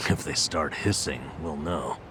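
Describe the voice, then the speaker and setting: An actor playing a wary, gruffly sensible gangster sidekick in an English video game dub. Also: male voice, rumbling low, unhurried, noisy background. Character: wary, grumbling male yakuza companion, gruffly sensible.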